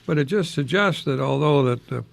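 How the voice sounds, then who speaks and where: Older American man with a creaky voice. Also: on the high side, animated, a little fast, dry recording. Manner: professorial, chatty, rhetorical questions, chuckling asides. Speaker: radio host, retired college professor. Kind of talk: informal radio talk with verse recitation.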